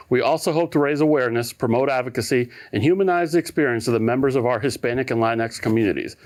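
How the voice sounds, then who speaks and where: Fast-talking male panel moderator. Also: medium pitch, a little mellow. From United States. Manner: measured, formal introductions. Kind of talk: hosting a panel discussion.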